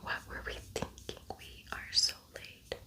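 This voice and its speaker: A woman whispers throughout in a breathy, voiceless tone. She talks in a casual ASMR tutorial style, rambling a little as she thinks aloud. Her delivery is chatty and informal. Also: a little slow. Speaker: ASMR creator and makeup tutorial host.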